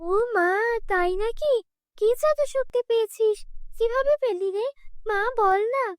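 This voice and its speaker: A nosy, greedy village auntie in a cartoon, acted by a woman. She talks in a very high voice and is pushy and coaxing. The recording is dry. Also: expressive, a little slow, no room sound. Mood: astonished, prying.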